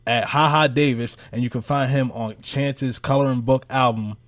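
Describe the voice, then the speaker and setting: A man's voice: mid-range voice, steady tone, moderate pace, no room sound. A man co-hosting a podcast, interviewing a guest in a casual, informal way. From United States, Philadelphia area. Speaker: podcast co-host.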